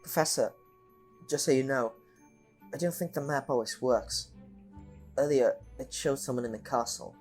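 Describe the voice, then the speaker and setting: An earnest young schoolboy wizard, played by a man in an audio drama. The voice sits at a middle pitch. The delivery is hesitant and understated, with faint music behind. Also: moderate pace, long pauses, a little mellow, lightly acted. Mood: earnest, uneasy. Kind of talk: fan audio drama acting.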